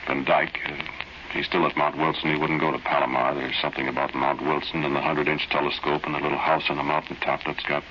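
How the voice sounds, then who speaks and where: An actor playing an intense, obsessed man, halting and insistent as he delivers ominous warnings in an old-time radio horror play. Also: extremely low pitch, level tone, pushed and bright, slight background noise. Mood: insistent, brooding. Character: intense, obsessed man announcing an alien invasion. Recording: muffled old radio broadcast with music.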